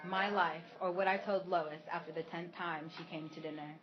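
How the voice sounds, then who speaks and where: Low-voiced woman narrating, muffled. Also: steady tone, normal speed. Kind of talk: first-person radio play narration. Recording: muffled recording with music behind.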